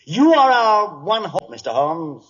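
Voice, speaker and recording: An actor playing an earnest, formal English shipping official in an old-time radio drama, polite and pressing. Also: male voice, high-pitched, sing-song, unhurried, fairly bright tone, slightly rough, exaggerated voice. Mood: anxious, businesslike. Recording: muffled old radio broadcast.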